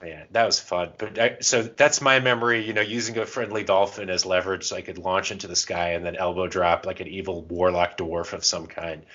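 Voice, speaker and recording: A man co-hosting a podcast, telling gaming anecdotes in a casual, animated, self-mocking way with some loose swearing. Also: deep voice, a little fast, few pauses, lo-fi sound. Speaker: podcast co-host.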